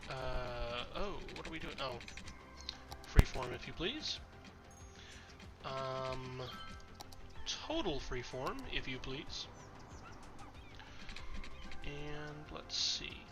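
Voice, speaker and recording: A man's voice: medium pitch, animated, very slow, pauses often, music in the background. A man with a cold, slightly nasal and congested, chatting casually and correcting himself as he streams a city-building game. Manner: rambling, chatty, self-correcting. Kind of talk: casual gameplay commentary.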